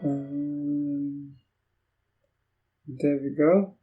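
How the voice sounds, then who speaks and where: A man whose first language is Afrikaans gives a casual walkthrough of software while recording his screen, thinking aloud in halting phrases. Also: on the high side, monotone, phone-quality sound, over music. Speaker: Linux software reviewer.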